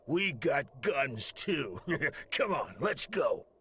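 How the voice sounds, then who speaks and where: A man's voice: fairly high pitch, very animated, extremely slow, a little hiss or hum, heavily acted. An actor playing a brash, sarcastic mobster hitman for hire in a video game. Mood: cocky. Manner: wisecracking, tough-talking. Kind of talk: 1920s gangster game voice acting. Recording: loud music over the voice.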